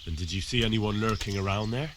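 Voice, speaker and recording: An actor playing a young village man in a video game, neighborly but firm, with a measured, questioning manner. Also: lowish voice, noisy background, natural voice. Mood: stern, pressing. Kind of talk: video game dialogue acting.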